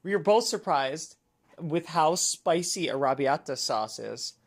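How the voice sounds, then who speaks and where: A woman gaming streamer with a deep voice for a woman, chatting casually and rambling with lots of fillers while she plays. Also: lively intonation, measured pace. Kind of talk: casual chat while playing.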